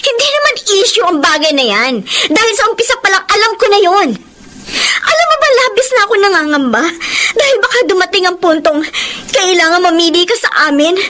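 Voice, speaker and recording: High-pitched, impassioned mistress. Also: female voice, lively intonation, brisk, sharp tone, exaggerated voice. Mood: hurt, bitter. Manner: emotional, pleading, defiant. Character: impassioned mistress defending her love for a married man. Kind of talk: Tagalog radio drama acting.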